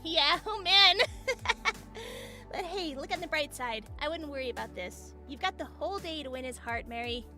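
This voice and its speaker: A woman's voice: very high voice, fairly even intonation, moderate pace, pushed and bright, faint music behind, heavily acted. A woman playing an upbeat, teasing teenage girl who encourages her friends in an audio drama. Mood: amused, encouraging. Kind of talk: fan audio drama acting.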